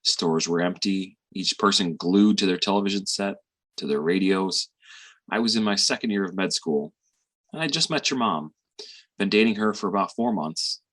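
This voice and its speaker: An actor playing a weary, tender middle-aged father and physician in a reflective, halting stage monologue. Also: sits low, fairly bright tone, rough recording. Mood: somber, reflective. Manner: reflective, halting with sighs and pauses.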